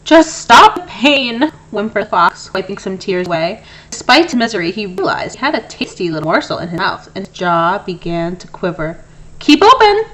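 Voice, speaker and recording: A woman reading a children's story aloud in an expressive narrating style. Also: lowish voice, fairly quick, a little hiss or hum, rough recording. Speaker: storybook reader.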